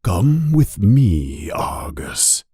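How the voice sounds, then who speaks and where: A man voicing a calm, grave elderly wizard headmaster, soft-spoken and measured, with a husky, breathy voice, for a storybook. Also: mid-range voice, no echo, studio quality, exaggerated voice. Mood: calm authority.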